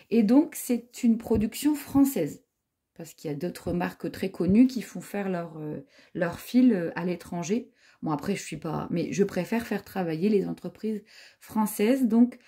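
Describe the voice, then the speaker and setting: Husky, mellow-voiced woman in her forties. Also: mid-range voice. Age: 40s. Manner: hesitant, many fillers and restarts. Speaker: knitting vlogger. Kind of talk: casual product review chat.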